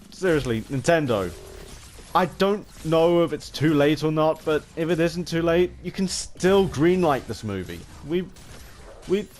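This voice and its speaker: Halting, rambling man over background noise. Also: fairly high pitch, a little mellow. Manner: halting, often stumbles and repeats phrases. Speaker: gaming vlogger. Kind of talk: rambling casual commentary to viewers.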